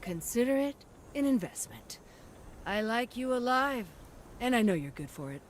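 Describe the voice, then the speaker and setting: An actress playing a sly, warm woman arms trader in a video game, with playful, theatrical phrasing. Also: a little high, sing-song, normal speed, a little hiss or hum. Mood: wry affection. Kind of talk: video game cutscene acting.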